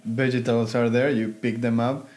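A man's voice: mid-range voice, level tone, normal speed, darkish tone. A man from Ecuador, a native Spanish speaker with a Spanish accent, telling stories as a guest in casual chat, laughing often and repeating words.

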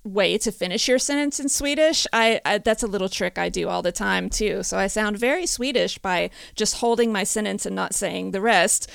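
American woman, podcast host, chatty storyteller; gravelly fry, smooth tone, dry close sound. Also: mid-range voice, moderate pace, hardly any pauses, a bit bright, no room sound. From United States. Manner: chatty storyteller, laughs often. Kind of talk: casual chat.

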